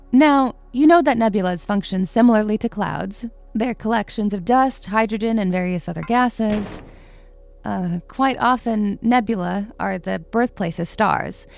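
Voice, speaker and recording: A woman playing an earnest astronomy professor lecturing her students in an audio drama, explaining with small hesitant pauses. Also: mid-range voice, gravelly, a little mellow, no room sound.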